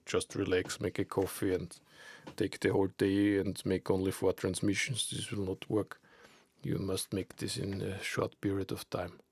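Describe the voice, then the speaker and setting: A man who is not a native English speaker explains an idea informally and unscripted, halting and repeating himself as he searches for words. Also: fairly low pitch, creaky, no echo, clean studio sound. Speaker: amateur radio enthusiast presenting an idea.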